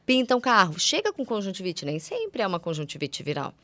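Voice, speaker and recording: Woman, Brazilian accent, mid-pitched voice, guest medical expert, conversational, didactic, emphatic repetition, dry recording. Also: huge pitch swings, brisk, fairly bright tone, no room sound. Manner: didactic, repeats words for emphasis; uses affectionate diminutives. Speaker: guest ophthalmologist. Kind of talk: conversational medical explanation for lay audience.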